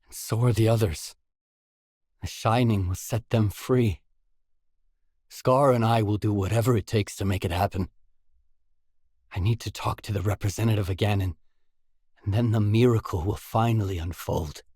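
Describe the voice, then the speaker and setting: An actor playing an earnest, determined stalker in a post-apocalyptic video game, speaking in a measured, solemn way. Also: male voice, lowish voice, steady tone, darkish tone, husky, no room sound, clean studio sound. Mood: solemn, resolute. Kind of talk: scripted video game dialogue.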